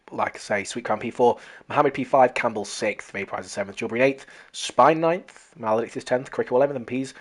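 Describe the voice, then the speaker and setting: A race commentator calling an online racing league, hoarse from losing their voice and excitable during close battles. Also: mid-range voice, a little fast. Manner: talks to viewers as "guys".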